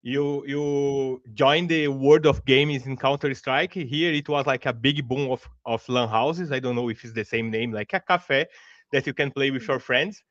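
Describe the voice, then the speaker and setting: A man from Brazil with a Brazilian Portuguese accent, a Portuguese native speaker who hosts an interview over a video call, speaking haltingly with frequent restarts in a friendly tone. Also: mid-range voice, a bit bright, no echo. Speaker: podcast host. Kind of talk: casual interview hosting. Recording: dry, muffled video call audio.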